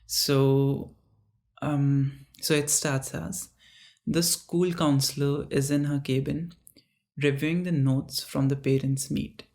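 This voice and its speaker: A storyteller reads their own dark fiction aloud at an unhurried, deliberate pace. The reading stumbles and restarts now and then. It breaks off into casual, self-mocking asides between passages. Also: mid-range voice, fairly even intonation. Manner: slow, halting reading broken by chatty asides.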